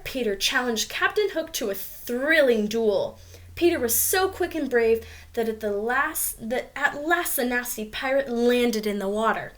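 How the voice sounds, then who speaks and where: A woman reading a storybook aloud to young children, expressively, with little asides about the pictures. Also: fairly high pitch. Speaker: storybook reader.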